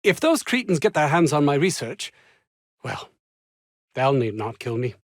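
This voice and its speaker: An actor playing a pompous, ambitious, anxious research scientist in a video game, speaking with haughty, fussy, old-fashioned formal diction. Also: male voice, fairly high pitch, big pitch swings, a little slow, no room sound, clean studio sound. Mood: scornful, exasperated. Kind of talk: theatrical game dialogue.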